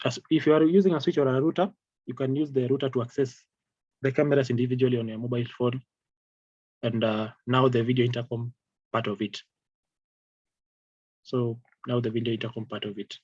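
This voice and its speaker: A man giving an informal product training over slides in a halting, repetitive, hesitant way. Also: mid-range voice, darkish tone, husky, no echo, muffled recording. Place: online video call with screen-shared slides.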